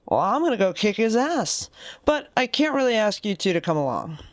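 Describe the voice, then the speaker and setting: A woman plays a brave, wry leader in a wasteland radio play. Her medium-pitched voice is casual and matter-of-fact, recorded very dry. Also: sing-song, normal speed, no room sound, natural voice. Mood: determined. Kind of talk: post-apocalyptic audio drama acting.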